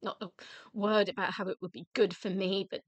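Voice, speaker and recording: An actress in a radio drama playing a weary, emotional widow who speaks in halting, deliberate phrases. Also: female voice, mid-range voice, level tone, dry recording, dull audio, lightly acted. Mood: regretful, confiding. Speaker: actor in a radio play.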